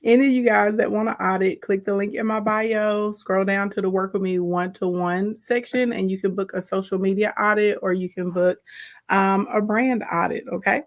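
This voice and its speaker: A woman with a slightly raspy voice, hoarse from a sore throat, hosts a live stream as a business coach. She talks in a loose, chatty way and breaks off mid-sentence to greet viewers by name. She sounds like she is speaking into a phone or camera. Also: mid-range voice, steady tone, normal speed. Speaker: livestream host and business coach. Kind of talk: casual livestream chat.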